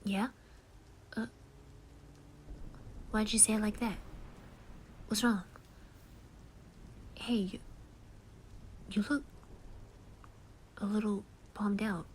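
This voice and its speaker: A woman voicing a gentle young boy who comforts his best friend in an ASMR roleplay, speaking softly and often in a whisper. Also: mid-range voice, steady tone, very slow, pauses often, a little hiss or hum, low-quality recording. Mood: soothing. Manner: soft, soothing, often whispered.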